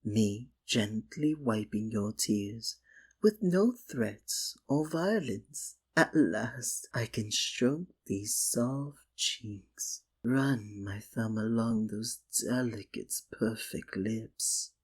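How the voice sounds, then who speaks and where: A man playing a sinister, possessive magician in an ASMR roleplay, speaking softly and coaxingly with theatrical, condescending endearments. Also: on the low side, animated, drawn-out words, exaggerated voice. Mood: tender, possessive. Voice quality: soft, breathy. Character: sinister, possessive male stage magician, soothing hypnotist. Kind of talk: ASMR roleplay, scripted dramatic monologue.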